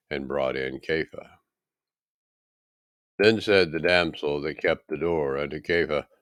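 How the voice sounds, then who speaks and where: A man reading scripture as a solemn, dramatic narration, with measured, deliberate and emphatic phrasing. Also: very deep voice, dry recording, studio quality. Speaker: scripture reader.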